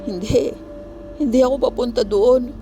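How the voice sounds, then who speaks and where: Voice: medium pitch, fairly even intonation, moderate pace, over music, voice pushed up, lightly acted. A narrator voicing a terrified trapped passenger in a horror story, pleading and repeating frantic denials. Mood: frightened pleading. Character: Lenny, terrified overtime worker trapped in a car.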